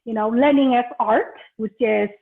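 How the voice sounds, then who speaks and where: A middle-aged woman from Vietnam with a fairly high voice hosts an online gathering. She is chatty and giggly in an informal way. The audio is thin and phone-like, as on a video call. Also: fairly even intonation, moderate pace, creaky. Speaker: host of an online ceremony. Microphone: video call microphone. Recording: tinny, band-limited video call audio.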